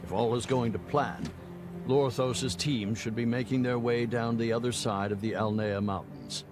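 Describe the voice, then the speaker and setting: Male actor as fantasy game general, medium pitch, sober authority, earnest formal delivery, music behind. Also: fairly even intonation, moderate pace, natural voice. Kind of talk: dramatic fantasy game cutscene acting.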